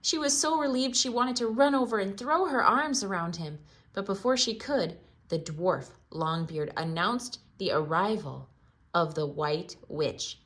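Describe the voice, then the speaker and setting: A woman giving a theatrical read-aloud of a children's storybook, expressive and full of dramatic pauses. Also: mid-range voice.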